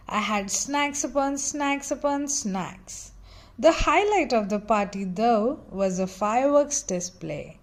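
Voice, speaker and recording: A woman reading a children's picture book aloud in an expressive, dramatic storytelling style with theatrical emphasis. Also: fairly high pitch.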